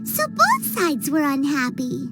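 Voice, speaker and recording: A woman's voice: sits high, huge pitch swings, slow, loud music behind the voice, heavily acted. A woman voicing a tiny, childlike fairy companion in a video game, curious and cheeky. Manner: childlike, inquisitive, speaks in third person.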